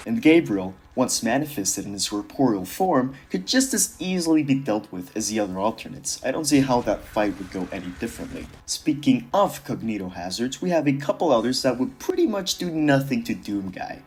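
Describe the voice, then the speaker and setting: A man's voice: mid-range voice, a little mellow, breathy edge. A man narrating a casual scripted commentary in a sardonic, dismissive, casually profane way. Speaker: video creator narrating a commentary.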